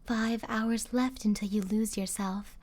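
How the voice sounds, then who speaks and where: A woman's voice: fairly high pitch, steady tone, measured pace, darkish tone. A woman streamer giving scripted story narration for an ASMR roleplay.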